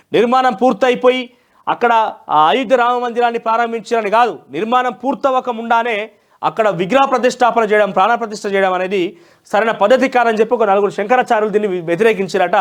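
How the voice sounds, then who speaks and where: High-pitched Telangana Telugu male news presenter. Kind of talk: newspaper review with opinionated commentary.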